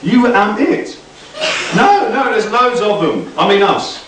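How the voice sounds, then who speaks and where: A man voicing a high-pitched, excitable storybook character. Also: slow pace, background noise. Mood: excited. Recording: very echoey, distant from mic.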